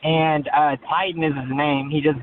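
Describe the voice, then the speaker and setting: Guy on a phone call, slightly high voice, hesitant and evasive, very tinny line. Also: little pitch movement, normal speed, slight background noise. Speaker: caller. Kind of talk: halting phone conversation. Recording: thin, narrow-band phone line.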